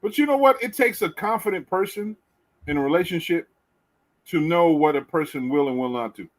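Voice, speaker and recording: A middle-aged man hosting a podcast, chatting casually and telling lively stories with casual swearing. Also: fairly high pitch, brisk. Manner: animated storyteller, swears casually. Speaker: podcast host. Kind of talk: casual chat.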